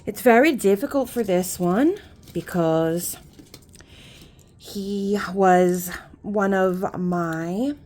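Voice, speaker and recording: A woman's voice: mid-range voice, very slow, mellow. A woman putting on a chatty, homely persona with a mock Irish or Scottish lilt, rambling with folksy phrases and many fillers. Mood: sad, rambling. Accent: put-on Irish or Scottish. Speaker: YouTuber. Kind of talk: casual chat.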